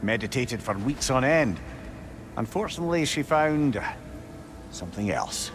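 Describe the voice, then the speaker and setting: A man's voice: mid-range voice, normal speed, over music. A man with a Scottish accent playing a wry, learned old sage telling tales in a dramatic video game cutscene. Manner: wry, expressive, storytelling.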